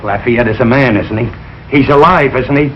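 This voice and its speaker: A man's voice: medium pitch, moderate pace, natural voice. An actor playing a blustering, suspicious working-class father with blunt, ungrammatical talk in a 1940s radio sitcom. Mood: indignant, stubborn. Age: middle-aged. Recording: muffled, noisy old radio recording.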